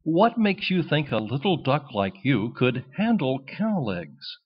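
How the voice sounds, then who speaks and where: Guy doing a regal animal king, rumbly n measured, slow bedtime story delivery, slightly dull sound. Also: big pitch swings, husky. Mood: surprised. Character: regal male animal king of the forest, lordly, benevolent.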